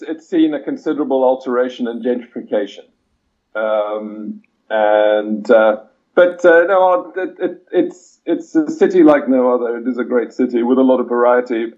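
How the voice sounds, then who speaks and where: A man who was born in Chile and grew up in Britain and South Africa, a historian answering interview questions in a measured, hesitant way with many fillers. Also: mid-range voice, a little mellow, muffled recording. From Chile-born, raised in Britain and South Africa. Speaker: historian guest. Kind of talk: podcast interview.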